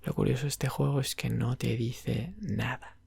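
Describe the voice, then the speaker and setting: A man with an accent from Spain chatting softly and unhurriedly in ASMR style, breathy, creaky and half-whispered. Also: medium pitch, steady tone, no room sound. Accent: Spanish (Spain). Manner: unhurried, rambling. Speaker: ASMR roleplayer as video game shop clerk. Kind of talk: ASMR roleplay, soft casual chat about games.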